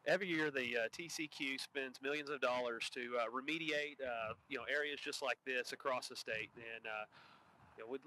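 A man's voice: medium pitch, fairly quick, sharp tone, slight background noise, rough recording. A man in his thirties or forties giving informal, hesitant public remarks as a local official at a podium microphone. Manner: hesitant, filler-heavy. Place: outdoors in an open field.

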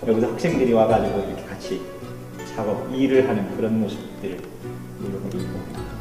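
A middle-aged man, an Anglican priest, giving an informal explanatory tour and pausing to find his words. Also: fairly low pitch. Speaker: Anglican priest, church guide. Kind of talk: informal explanatory guided tour of church history. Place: inside a wooden church hall. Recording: echoey hall, distant mic, faint music.

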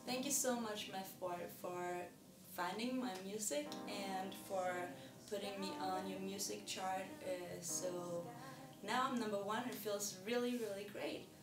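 A young Danish woman, a singer-songwriter, talking to camera about her music in a soft, hesitant way with many pauses and ums. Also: medium pitch, fairly even intonation, echoey room, recorded from a distance. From Denmark. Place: indoors in front of a plain wall.

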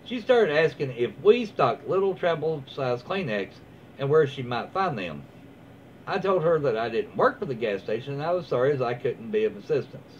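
A dull, noisy home recording of a man reading online stories aloud. His pitch moves around a lot as he slips between reading and easygoing, rambling commentary. He sounds folksy and casual, like a host chatting from his own room. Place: small room at home, indoors. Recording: dull lo-fi sound with clear background noise.

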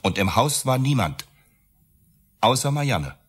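A man's voice: mid-range voice, sing-song, a little slow, fairly bright tone, husky, heavily acted. An actor playing a bitter, cornered, sarcastic man accused of murder in a radio drama. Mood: sarcastic, accusing. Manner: sarcastic, accusatory, rhetorical questions. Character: Max, accused architect, bitter, volatile, cornered lover. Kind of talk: German radio drama acting.

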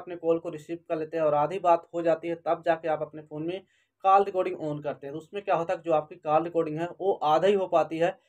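Guy doing phone how-to voiceover, talks really fast, kinda high voice, repeats steps. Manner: repetitive, instructional, addresses viewers as friends. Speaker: tech tutorial YouTuber and host. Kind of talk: step-by-step phone tutorial voice-over.

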